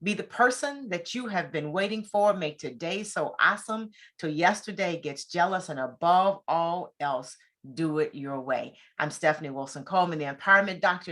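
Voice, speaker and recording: A woman's voice: lowish voice, lively intonation, moderate pace, fairly bright tone. A woman hosting a conversational interview show, speaking in an effusive, encouraging way.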